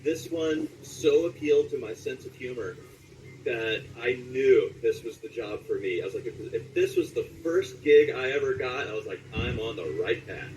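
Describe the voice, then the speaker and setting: A man from Southern California talking casually as an interviewed voice actor, telling anecdotes in an animated way and acting out reactions. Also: medium pitch, moderate pace, distant mic, slight background noise, poor audio.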